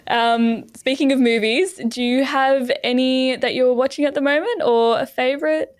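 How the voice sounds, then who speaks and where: A woman's voice: sits high, fairly even intonation, normal speed, clear, resonant tone. A woman hosting a podcast in an informal, chatty way. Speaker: podcast host. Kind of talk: casual podcast interviewing.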